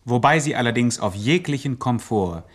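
An actor playing a solemn, pedantic chronicler who narrates a satirical gangster radio play deadpan. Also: male voice, mid-range voice, normal speed, close to an everyday voice. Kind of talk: mock-scholarly scripted radio drama narration.

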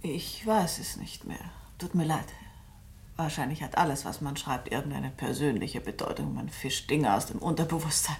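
An actress playing a poised, guarded novelist in a German radio play, polite but cool and evasive. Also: female voice, low voice, normal speed, creaky, slight background noise. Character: poised, guarded female novelist receiving a probing visitor.

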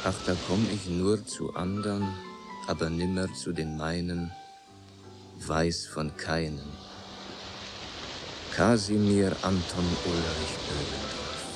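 A sad solemn reciter, low and slow, radio drama with music under it. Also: male voice. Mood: mournful. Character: melancholy, solemn man reciting verse and elegy. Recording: music behind the voice.

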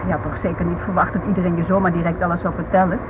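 An actress plays a young mother and wife in a vintage radio drama. She speaks briskly, questioning and concerned for her husband. The recording is narrow and tinny. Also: lowish voice, flat delivery, noisy background, lightly acted. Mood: sceptical. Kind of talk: radio play acting.

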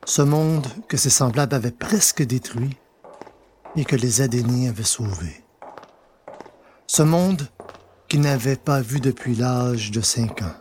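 Slow, deliberate male storyteller. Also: medium pitch, darkish tone, slight background noise. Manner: deliberate, pausing between phrases. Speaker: narrator. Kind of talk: scripted literary narration.

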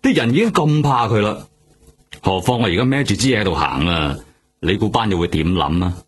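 A man's voice: lowish voice, animated, fairly quick, natural voice. A man acting a jaded, streetwise veteran detective in a radio drama, emphatic and sardonic, with a Hong Kong Cantonese accent. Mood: sardonic, agitated. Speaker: supporting actor. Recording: music behind the voice.

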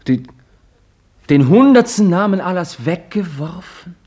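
A man's voice: sits high, expressive, a little slow, darkish tone, breathy edge, heavily acted. An eager, insistent young man. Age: young adult. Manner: insistent, pressing questions. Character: eager impatient young man seeking a miracle. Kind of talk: 1950s radio drama acting.

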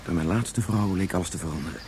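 An actor playing an anguished, regretful scientist of great age in a Dutch science fiction radio play, speaking haltingly and turning back on his own words. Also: sits low, noisy background, lightly acted. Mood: brooding, regretful. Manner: halting, repetitive, ruminative. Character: anguished 177-year-old scientist, brooding, regretful father.

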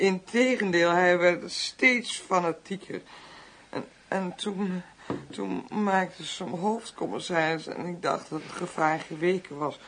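An actress playing a middle-aged alcoholic mother in a radio drama, halting and slurred, bitter and lonely, with groans and repeats. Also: on the low side, slight background noise, rough recording. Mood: despairing. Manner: halting, repetitive, groaning and sighing.

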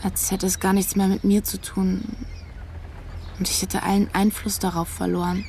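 A woman's voice: mid-range voice, little pitch movement, normal speed, darkish tone, slight background noise, lightly acted. An actress playing a searching, disillusioned young woman in a naturalistic radio drama, talking pensively. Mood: disillusioned, musing.

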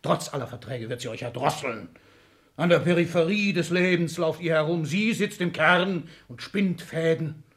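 An actor playing an ailing, power-hungry old tycoon and father in a 1960s German radio play, declamatory and commanding, moving between whispers and shouts. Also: a little high, normal speed. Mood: bitter ranting. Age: older.

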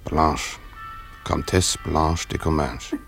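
An actor playing a brooding, lovesick former tutor, narrating a radio drama in a reflective, halting, self-questioning way. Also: male voice, very deep voice, creaky, fairly bright tone, soft background music, full character voice.

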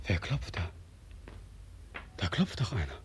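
An actor playing a tormented, despairing soldier home from war in a 1940s radio drama, anguished and halting. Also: male voice, low voice, big pitch swings, noisy background. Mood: mounting terror. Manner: anguished, halting, swinging from hush to outcry. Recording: old 1940s radio recording.

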